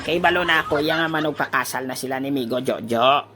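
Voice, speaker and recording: A man's voice: mid-range voice, fast, bright, forceful tone, slight background noise. A man playing a sheepish, apologetic Filipino character in a comic audio drama, speaking hesitantly.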